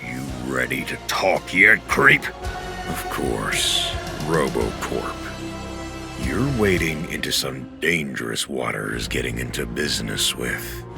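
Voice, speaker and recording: A man playing a grim, brooding space vigilante with a deep gravelly growl in a comic drama, loud music underneath. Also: expressive, slow, full character voice. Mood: grim menace. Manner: brooding, threatening. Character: menacing masked male space vigilante, a grim ghost.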